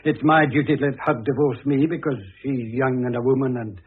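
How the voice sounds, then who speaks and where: A man's voice: medium pitch, fairly even intonation, fairly quick, slightly rough, natural voice. An actor playing an exasperated, self-important middle-aged businessman father, blustering and indignant in an old-fashioned radio drama. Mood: troubled. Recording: muffled, lo-fi old radio recording.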